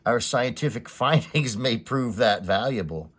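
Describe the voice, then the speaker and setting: A man's voice: medium pitch, expressive, unhurried, fairly bright tone. A man voicing an aloof, coolly curious adult giant from a comic story, speaking in formal, stilted pronouncements. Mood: clinical.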